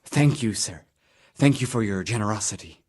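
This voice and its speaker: Humble commoner, grateful, husky, lowish, medieval game drama. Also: male voice, animated, moderate pace, a little mellow. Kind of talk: video game acting.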